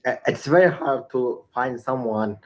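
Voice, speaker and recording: A man's voice: mid-range voice, a little slow. A young man with Arabic and Sorani Kurdish as his first languages practices English over a video call. He talks in a mid-range voice, stopping and restarting his words often as he searches for them. The sound comes through a call connection. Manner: hesitant, many restarts and fillers. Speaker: English learner. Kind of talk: casual online conversation practice.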